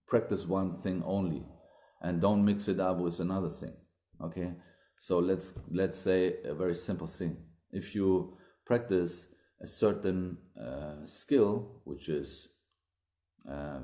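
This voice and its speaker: A man's voice: deep voice, dark tone. A man gives an earnest, emphatic talk as a Daoist teacher, repeating himself for stress, recorded up close on a phone camera indoors. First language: German. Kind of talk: livestream talk to viewers. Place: room indoors. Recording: echoey room.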